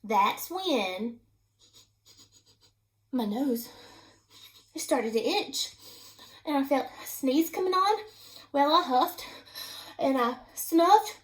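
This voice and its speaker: Woman reading as a high, animated storybook wolf. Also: slow, long pauses, rough recording. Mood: mock-innocent. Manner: expressive, dramatic storytelling with exaggerated sneezes. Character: wronged wolf, male, folksy, self-excusing storybook narrator.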